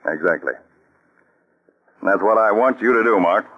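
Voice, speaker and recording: A shrewd, commanding counterspy chief, as a man plays him with a low, steady voice. He talks in a calm, deliberate way, coming through a dull, lo-fi old radio recording. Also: lightly acted. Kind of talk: 1940s radio acting.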